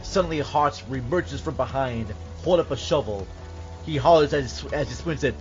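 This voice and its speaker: A man's voice: mid-range voice, a little fast, faint music behind. A man reading a scripted scene aloud as a podcast host, with emphatic, suspenseful delivery. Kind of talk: dramatic script narration and casual chat. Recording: dull lo-fi audio.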